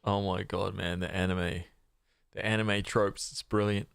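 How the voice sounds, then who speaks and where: A man's voice: sits low, flat delivery, a little slow, vocal fry, faint music behind. A man giving casual reaction commentary as a gaming streamer.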